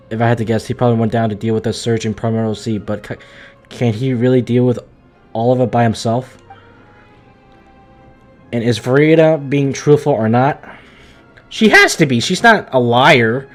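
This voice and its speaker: Casual, slangy male game streamer. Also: mid-range voice. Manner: slangy, stumbling, repetitive, emphatic. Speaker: game livestreamer. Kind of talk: casual live game commentary.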